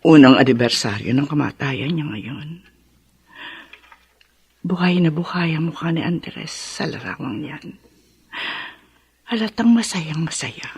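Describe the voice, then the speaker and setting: A woman acting a tender, devoted widowed mother in a radio drama, with a halting, emotional delivery. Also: sits low, expressive, darkish tone, slightly rough, dry recording, heavily acted. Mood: tender, wistful.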